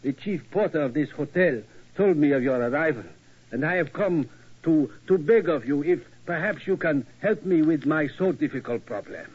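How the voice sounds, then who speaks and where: An older German tower keeper in a vintage radio play. His voice is fairly high and husky, with a German accent. He pleads haltingly and formally, anxious and deferential, on a muffled old recording. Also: animated, darkish tone. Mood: pleading. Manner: halting, formal, pleading speech with foreign word order. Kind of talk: 1940s radio acting.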